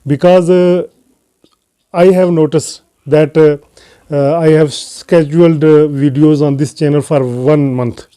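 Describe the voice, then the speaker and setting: A man with a Pakistani accent hosting an English-learning channel, talking casually to camera and pausing often on "uh" fillers. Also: on the high side, fairly even intonation, dark tone, smooth. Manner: hesitant, frequent "uh" fillers between phrases. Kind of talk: casual unscripted talk to camera.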